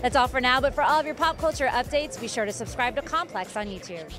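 Woman, high voice, scripted entertainment news narration, music behind. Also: expressive, quick pace. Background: background music.

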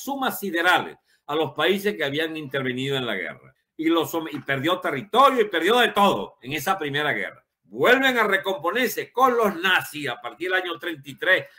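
Male political commentator, seventies. Emphatic, opinionated off-the-cuff monologue, bright and sharp with big pitch swings. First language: Spanish. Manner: emphatic, rhetorical questions, colourful wording.

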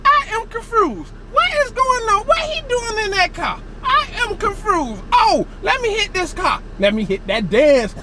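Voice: very high voice, big pitch swings, a little slow, hardly any pauses. Playful American vlogger over noisy background. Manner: playful, repeats phrases over and over. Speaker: prank vlogger. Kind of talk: excited casual vlog chat to camera. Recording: noisy handheld recording, vehicle and street sounds.

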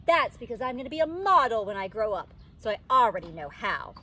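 Vain high-pitched little schoolgirl, voiced by a woman. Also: very animated, fairly quick, pushed and bright, slightly rough, exaggerated voice. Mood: boastful. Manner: gushing, singsong. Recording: outdoor background noise.

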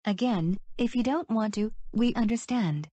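A cartoon game show host, played by a woman with a mellow, dark-toned voice. She talks effusively and circles back over her offer, recorded dry and close. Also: fairly high pitch, normal speed, no echo, close to an everyday voice. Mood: enthusiastic. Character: female game show host, enthusiastic and warm. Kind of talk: amateur animated show voice acting.

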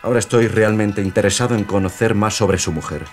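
Male actor as imperious dictator, lowish pitch, polite menace, audio drama, soft music bed. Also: fairly quick, lightly acted. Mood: imperious, courteous. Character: imperious, courteous German dictator, sly with women. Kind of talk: Spanish audio fiction drama.

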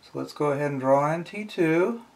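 A man's voice: fairly high pitch, fairly quick, creaky, darkish tone. A middle-aged man giving a casual hands-on tutorial, informally thinking aloud as he talks himself through each step. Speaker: instructor. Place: indoors at a wooden workbench.

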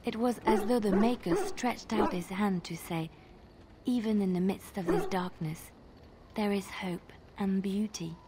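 An actress plays a young female archer of deep faith in a fantasy video game. She speaks in an earnest, hushed and dramatic manner, hopeful at heart. Some faint ambient noise sits behind her mid-pitched voice. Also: measured pace, lightly acted. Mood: wistful, awed.

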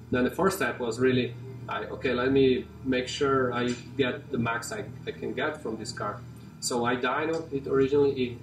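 A man in his forties with a Brazilian accent, talking casually and haltingly about his car in a large garage showroom, with many fillers and restarts. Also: medium pitch, slight background noise. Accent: Brazilian Portuguese. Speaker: company CEO and car collector. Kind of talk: casual walkaround interview. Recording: roomy and distant in a garage.

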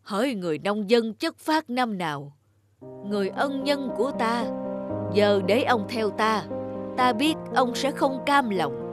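A woman's voice: fairly high pitch, animated, unhurried, music in the background. Woman voicing a solemn, stately Grim Reaper. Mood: solemn, merciful. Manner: formal, stately. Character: solemn, commanding Grim Reaper who shows gratitude. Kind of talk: cartoon folk-tale acting.

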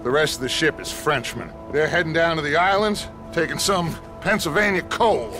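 An actor playing a commanding middle-aged outlaw gang leader in a video game, with an American accent and a deliberate, grandiose manner. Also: male voice, on the high side, over music, natural voice. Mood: assured, scheming. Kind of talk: dramatic video game cutscene acting.

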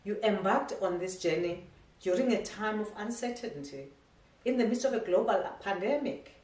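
A woman's voice: mid-range voice, expressive, darkish tone. Woman giving a formal graduation speech. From South Africa. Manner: measured, ceremonial delivery with slight stumbles. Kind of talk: formal prepared speech read aloud. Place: living room at home. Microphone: camera across the room. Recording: echoey room, voice from a distance.

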